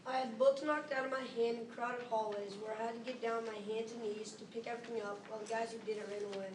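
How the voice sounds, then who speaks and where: A woman's voice: fairly high pitch, steady tone, fast, distant mic. A seventh-grade girl performing a scripted readers theater monologue. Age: about 12 to 13, seventh grader. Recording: echoey room from afar, clear noise.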